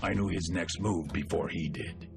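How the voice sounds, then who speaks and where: A ruthless, brooding cartel boss. His voice is extremely deep and creaky, measured and menacing, in a video game monologue over music. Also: fairly even intonation.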